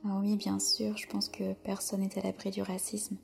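A soft-spoken French woman, a podcast guest, answering slowly with many hesitant pauses in a whispery voice. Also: mid-range voice, monotone, vocal fry, a little hiss or hum. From France. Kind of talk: podcast interview.

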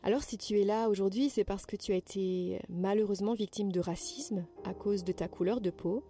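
A woman hosting a podcast, gently reading out prepared interview questions in a measured way. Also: medium pitch, fairly even intonation, faint music behind.

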